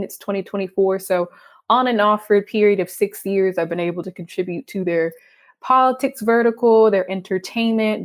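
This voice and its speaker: A young adult woman from Texas with a Texan accent, a freelance writer chatting animatedly and laughing often in an interview. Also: mid-range voice. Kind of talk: conversational interview.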